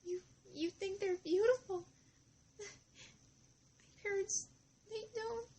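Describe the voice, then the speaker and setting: A shy young girl from a cartoon drama, speaks in a tiny, very high voice. She goes slowly and haltingly, full of timid, sweet devotion. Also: steady tone, mellow. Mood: shy, tearful.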